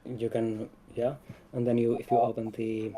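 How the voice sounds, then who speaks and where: A game developer, a man with a dark, mellow voice, explains things casually as a stream guest. His intonation stays quite flat, and there is some noise in the recording. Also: mid-range voice, normal speed, poor audio.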